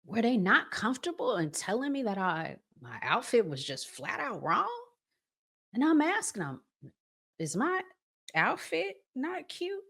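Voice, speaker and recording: A woman's voice: a little high, sing-song, a little slow, creaky, fairly bright tone. An American woman hosting a relationship advice channel, talking casually and emphatically in colloquial language and drawing out her key words. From United States. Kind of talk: casual advice talk with acted-out examples.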